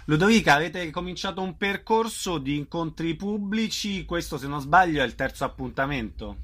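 A man's voice: on the high side, animated, moderate pace, fairly bright tone. A man hosting a live radio interview, informal and somewhat hesitant in his phrasing.

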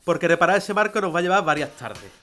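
A man's voice: sits high, lively intonation, fast talker, sharp tone. A man playing a confident, teasing workshop manager in an audio drama, with a Spanish accent from Spain. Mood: mock stern. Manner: teasing, self-assured. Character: cheeky, confident male framing workshop manager.

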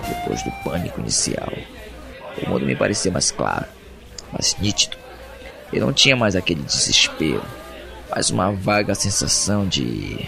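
Deep gravelly Brazilian captive, brooding man acting. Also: full character voice. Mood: uneasy calm. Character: captive man, introspective, uneasy, reasoning through his confinement. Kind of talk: first-person audio drama narration.

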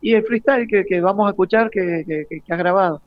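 A man hosting a live interview in a casual, halting way, repeating words as he goes. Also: sits high, no echo. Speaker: broadcast host. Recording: muffled lo-fi live broadcast audio.

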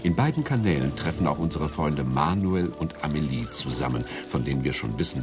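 A man narrating a radio play in a wry, measured storytelling manner. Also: rumbling low.

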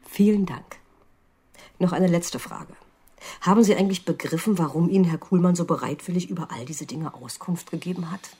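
An actress playing a probing, sceptical examining magistrate in a naturalistic radio crime drama, precise and insistent, with a low, husky voice. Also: female voice, normal speed, mellow. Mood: cool, matter-of-fact. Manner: precise, insistent, coolly interrogating.

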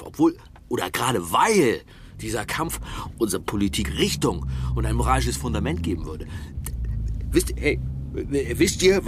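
An actor playing a drunken, self-righteous middle-aged politician who rambles and stammers pompously in a German radio crime drama. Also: male voice, mid-range voice, natural voice. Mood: pompous ranting. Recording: noisy car interior ambience.

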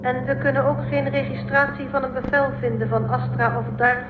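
Woman as an echoey, high-voiced formal ship intelligence. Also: flat delivery, brisk, heavy background noise, natural voice. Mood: formal, firm. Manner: precise, detached, formal. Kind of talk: science fiction radio play acting. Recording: echoey tinny audio over loud music.

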